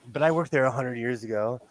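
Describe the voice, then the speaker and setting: A man in his forties hosting a sewing livestream, chatting casually in a high but loud voice, rambling, laughing often and restarting his sentences. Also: dry recording. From lives on the West Coast of the US. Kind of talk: casual chat while sewing.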